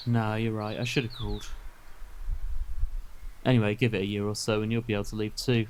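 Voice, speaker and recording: A man's voice: fairly low pitch, little pitch movement, brisk, a little mellow, smooth, close to an everyday voice. A young man playing a bookish, affectionate older brother in naturalistic film dialogue, teasing in an understated way. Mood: earnest, affectionate. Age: young adult.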